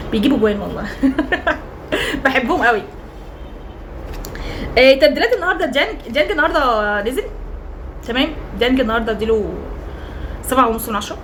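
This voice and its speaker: A young woman with an Egyptian Arabic accent, hoarse from cheering, rating football players in a chatty, teasing, emphatic monologue. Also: on the high side, fairly bright tone, slight background noise, rough recording. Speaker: football fan vlogger.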